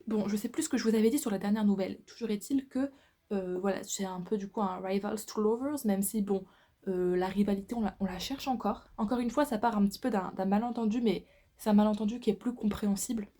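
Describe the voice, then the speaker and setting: A woman's voice: mid-range voice, fast, dark tone. A woman in her twenties, a book vlogger, chatting casually and informally to camera, with slangy phrasing. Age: 20s.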